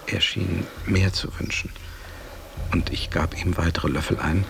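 A sober companion telling a solemn radio story. The voice is low and creaky, with a grave, measured delivery. A faint noise sits behind it. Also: male voice, fairly even intonation, heavily acted. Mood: grave, restrained. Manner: measured, grave, hushed at phrase ends. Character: sober male companion recounting a master's death. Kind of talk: literary radio play narration.

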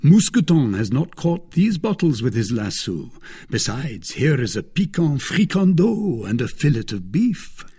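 A man voicing a young, spirited, teasing gentleman soldier with a quick-witted manner in an audiobook. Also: mid-range voice, sing-song, breathy edge, voice pushed up, full character voice.

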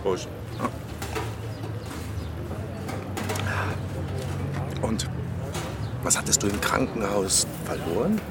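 A man's voice: on the low side, sing-song, a little slow, noisy background. A man playing a terse, unflappable provincial detective in a crime radio drama, with a dry, understated way of talking. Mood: wry.

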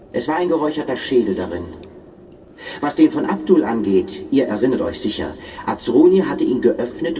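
A man's voice: medium pitch, dark tone, recorded from a distance, slight background noise, lightly acted, low-quality recording. An actor playing a bitter, menacing poet who commands and accuses his comrades in a German radio drama.

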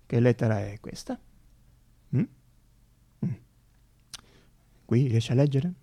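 A man playing an excitable, flustered eye doctor who stammers and repeats himself in a radio stage monologue. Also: medium pitch, takes it slowly. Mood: nervous, fussy.

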